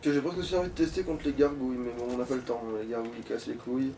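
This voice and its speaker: A man's voice: medium pitch, racing, dark tone, distant mic, a little hiss or hum. A man chatting casually while playing a video game, talking colloquially and swearing often. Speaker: let's play gamer. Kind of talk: casual gaming chat.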